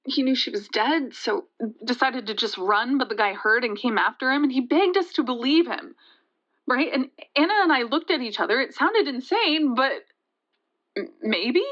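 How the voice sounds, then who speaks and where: Audio drama: rattled young female storyteller, higher-pitched, conversational and hesitant, slightly muffled lo-fi sound. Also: animated, fairly bright tone. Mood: tense, hesitant. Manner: halting, conversational, with hesitations and restarts. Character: shaken young woman recounting a terrifying night. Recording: dull, lo-fi sound.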